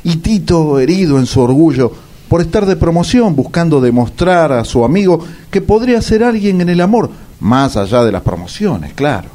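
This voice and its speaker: Male narrator, playful scripted narration, mellow, lively pitch. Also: moderate pace.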